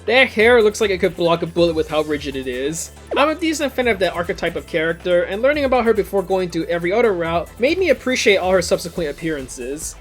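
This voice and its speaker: Young male game reviewer, high lively voice, casual scripted narration with wry asides over music. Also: fast, few pauses. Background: background music.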